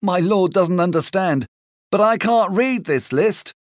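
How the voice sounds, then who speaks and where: A woman's voice: on the low side, lively intonation, a little slow, a little mellow, no echo, studio quality. A woman doing a flustered servant. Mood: anxious. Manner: anxious, pleading. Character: flustered male servant who cannot read.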